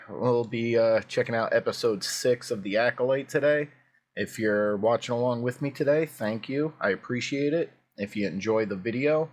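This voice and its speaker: A man hosting a casual video reaction, his voice hoarse and prone to cracking, talking in a chatty, rambling way with plenty of fillers. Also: mid-range voice. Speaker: YouTube reaction host.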